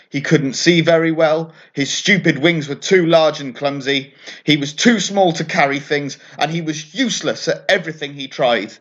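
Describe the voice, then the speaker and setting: A man reading a children's bedtime story aloud as an expressive narrator. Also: a little high, dull audio.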